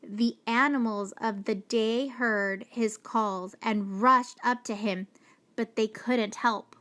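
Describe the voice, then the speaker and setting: A woman reading a children's storybook aloud with deliberate, expressive storytelling. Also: fairly high pitch. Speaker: storybook reader. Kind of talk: children's read-aloud narration.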